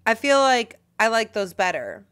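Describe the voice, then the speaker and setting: Woman, thirties, podcast co-host, casual chat, teasing, animated, slightly high voice, smooth tone. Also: normal speed.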